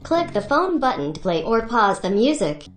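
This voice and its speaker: A woman's voice: a little high, big pitch swings, moderate pace, roomy sound, faint music behind. A woman giving scripted product tutorial narration in clipped, step-by-step instructions.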